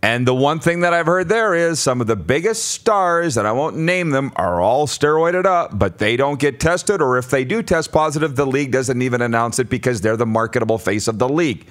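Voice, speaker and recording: A man's voice: medium pitch, big pitch swings, normal speed, fairly bright tone. A man hosting a live sports talk discussion, posing questions to steer the conversation. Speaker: sports talk show host.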